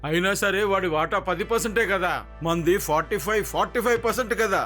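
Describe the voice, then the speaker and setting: Casting: greedy, scheming cartoon businessman villain, high voice, bossy bluster, music behind. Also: fast talker, pushed and bright. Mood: haggling. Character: scheming, greedy male phone company villain. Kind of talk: Telugu cartoon dub acting.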